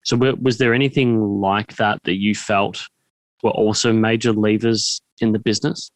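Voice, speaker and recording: A man's voice: lowish voice, little pitch movement, normal speed, no room sound. A man hosting an interview, talking conversationally and reflectively as he builds up long questions. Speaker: podcast host, interviewer.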